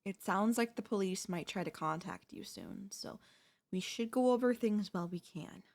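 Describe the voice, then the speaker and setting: A woman playing an anxious, doting and quietly controlling wife in an intimate ASMR audio roleplay, with breathy, hesitant, coaxing delivery. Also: medium pitch, big pitch swings, moderate pace. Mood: calmly coaxing.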